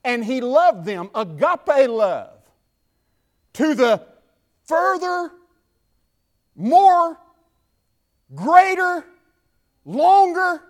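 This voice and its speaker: A man with a Southern US accent preaching a sermon from the pulpit, building to fervent shouts and dropping to quiet talk. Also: very high voice, sing-song, extremely slow, pauses often. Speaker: pastor. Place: church.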